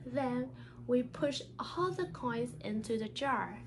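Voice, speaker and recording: High-voiced woman speaking slowly over noise. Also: expressive. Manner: careful, halting non-native English with small grammar slips. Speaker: teacher reading a picture book aloud. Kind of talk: read-aloud of a children's storybook.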